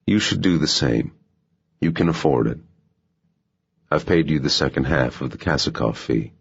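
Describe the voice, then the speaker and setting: Guy doing a tired blunt agency man in an audiobook, really low husky voice, kinda dull recording. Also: animated, moderate pace, full character voice. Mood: wry, frank. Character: blunt, world-weary male American intelligence official. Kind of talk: audiobook character dialogue.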